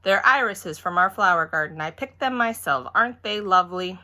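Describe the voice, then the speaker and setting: Prim, genteel storybook mom, acted by a woman reader, fussy and proper, bright tone. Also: lowish voice, lively intonation, fairly quick. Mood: proud. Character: prim, polite, proper mother at an egg hunt.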